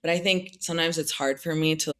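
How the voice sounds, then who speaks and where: Young woman, interviewee, lower voice, relaxed reflective answers peppered with "like.". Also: fast talker. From United States. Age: young adult. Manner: thoughtful, many "like" fillers, light laughs.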